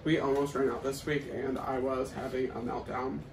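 A man's voice: medium pitch, little pitch movement, normal speed. A young man co-hosting a casual vlog, chatting playfully and colloquially to the camera. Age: young adult. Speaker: vlog co-host. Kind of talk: casual grocery haul chat to camera. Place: small kitchen at home, indoors. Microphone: camera a few feet away. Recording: roomy, distant mic, faint noise.

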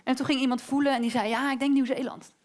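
A woman interviewer with a high voice hosts a conversation on a small dark stage. She talks in a chatty, playful way, with many small fillers and restarts, into a handheld mic. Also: steady tone, fast talker. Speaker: interviewer and presenter. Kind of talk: stage interview in front of an audience. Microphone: handheld microphone.

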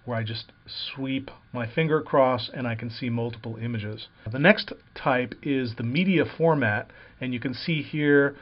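A man narrates a step-by-step software walkthrough in a plain, explanatory way, pausing often with uh and um. His voice sits at an ordinary middle pitch. The recording is dull and lo-fi, made at a desktop computer. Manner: plain explanatory, frequent "uh" and "um" fillers. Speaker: software tutorial presenter.

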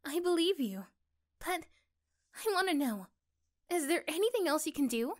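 A woman playing a gentle, affectionate young girlfriend in an ASMR roleplay, speaking softly and hesitantly with tender pauses. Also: extremely high pitch, big pitch swings. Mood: reassuring, curious. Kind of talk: ASMR roleplay, intimate one-sided dialogue.

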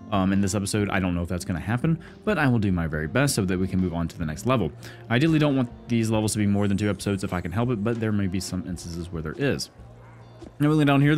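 Fast-talking, congested male let's-play host, animated and rambling, soft music underneath. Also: fairly low pitch. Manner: chatty, thinking aloud with many fillers. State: congested, stuffy from a cold. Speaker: gaming video host. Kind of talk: casual let's-play commentary.

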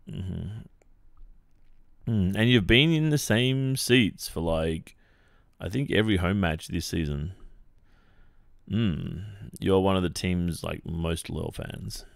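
A man's voice: low voice, vocal fry, smooth, no echo, studio quality, full character voice. An Australian man playing a friendly, teasing young football captain in a hesitant, casual ASMR boyfriend roleplay. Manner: hesitant, casual, murmuring hums and chuckles.

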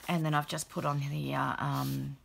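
A middle-aged woman with a croaky, gravelly voice after the flu, casually showing a handmade journal at her craft table, relaxed and chatty with many fillers. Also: rumbling low, a little slow, a little hiss or hum. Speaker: craft channel host. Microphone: overhead camera, hands only.

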